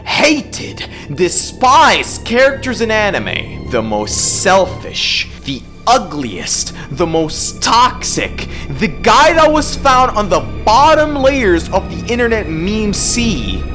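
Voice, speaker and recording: A YouTube host performs a comedic rant with highly animated pitch that swings up and down. The delivery is theatrical and exaggerated, stretching words and breaking into outbursts. Music plays behind the voice. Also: takes it slowly. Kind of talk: comedic scripted rant commentary. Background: background music.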